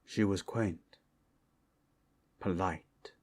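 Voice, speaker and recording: Man voicing an ancient, very slow, deep dragon. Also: fairly even intonation, darkish tone, a little hiss or hum. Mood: solemn, reflective. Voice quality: husky, breathy. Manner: grave, deliberate, theatrical with long pauses. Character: ancient solemn male dragon, fiercely protective hoard guardian. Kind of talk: slow dramatic ASMR roleplay monologue.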